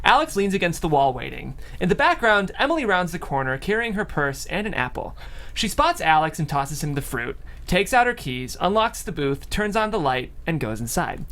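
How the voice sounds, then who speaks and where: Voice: medium pitch, sing-song, normal speed, a bit bright. A narrator reading out stage directions in a scripted style at a comedy table read.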